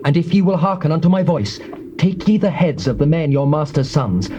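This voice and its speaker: Give the voice of a ruthless, zealous warrior king played by a male actor in a scripture drama. He is British, pitched a little high, and declaims with solemn, imperious authority. The old tape is clearly noisy. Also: brisk, dark tone, lo-fi sound, close to an everyday voice. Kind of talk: dramatised scripture, classical stage acting.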